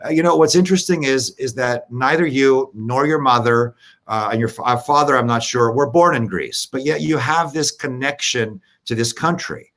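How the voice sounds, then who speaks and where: A male host leads a live interview and keeps his questions upbeat and encouraging. His voice sits at an ordinary middle pitch. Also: normal speed, a bit bright.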